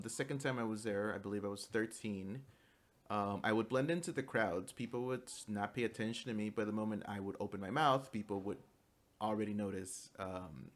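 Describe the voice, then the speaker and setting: Young male student, low-pitched, hesitant with ums and restarts, presentation for class. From United States. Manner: halting, many fillers and restarts; switches into fluent Spanish.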